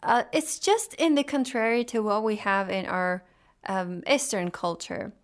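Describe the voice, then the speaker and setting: A woman hosting a radio discussion show, speaking in a measured, formal way and sometimes pausing to find her words. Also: medium pitch.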